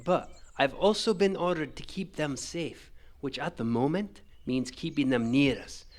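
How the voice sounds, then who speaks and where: A man playing a stern, duty-bound veteran general in a fantasy audio drama, speaking formally and with measured authority. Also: on the high side, natural voice. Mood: grave, patient.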